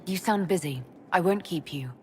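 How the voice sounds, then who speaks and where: A woman's voice: lowish voice, moderate pace, slight background noise, close to an everyday voice. A woman voicing a blunt, practical leader. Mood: concerned. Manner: direct, practical. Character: pragmatic woman leading an order.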